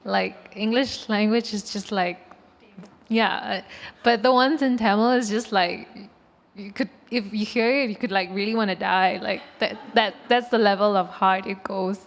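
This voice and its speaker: A woman's voice: mid-range voice, fairly even intonation, moderate pace, vocal fry. A woman from Singapore on a panel, talking casually with many fillers. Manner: casual, filler-heavy, informal. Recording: reverberant hall.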